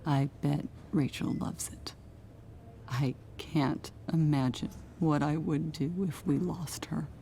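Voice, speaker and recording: A woman playing a gentle middle-aged mother in a video game drama, tearful and distraught. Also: low voice, steady tone, slow pace, gravelly, a little hiss or hum, full character voice. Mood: tender.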